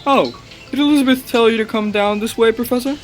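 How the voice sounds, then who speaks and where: A man's voice: very high-pitched, a little fast, soft background music. An actor playing an obsessive, passionate young male scientist in a radio play, with earnest, theatrical and formal delivery. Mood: intense, eager. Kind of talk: dramatic radio play acting and narration.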